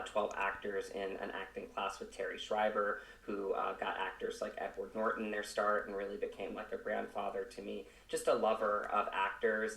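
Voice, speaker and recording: Guy from virginia chatting as podcast guest, lowish voice, roomy and off mic. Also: gravelly, a bit bright, distant mic. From Virginia, United States. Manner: halting, peppered with you know. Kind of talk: casual conversational interview answers. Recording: roomy echo, away from mic.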